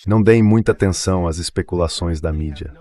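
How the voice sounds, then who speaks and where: A man's voice: low-pitched, fairly quick, dark tone. A synthetic male AI dubbing voice reading a translated official's formal statement to the media.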